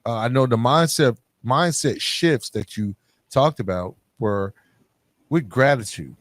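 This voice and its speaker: A man's voice: mid-range voice, unhurried, dry recording. Male podcast host, informal and chummy, mid-range voice, close dry recording. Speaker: podcast host interviewing a guest. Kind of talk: casual conversational interview. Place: living room at home.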